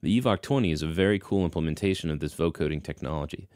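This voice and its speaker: A man's voice: extremely low pitch, quick pace, darkish tone, no echo, studio quality. A man narrating a scripted tutorial as an instructor, explaining in an easygoing way with casual, folksy asides.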